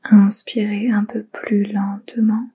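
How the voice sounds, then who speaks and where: Very breathy, slow-paced woman guiding meditation. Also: medium pitch, little pitch movement. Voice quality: breathy, whispery. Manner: slow, gentle, soothing instructions with pauses. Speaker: yoga nidra meditation guide. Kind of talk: guided relaxation meditation, soft and whispery.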